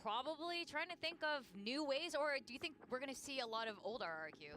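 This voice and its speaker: A woman's voice: sits high, expressive, fairly quick, a bit bright. A woman hosting an esports broadcast desk, poised and putting questions to the analysts.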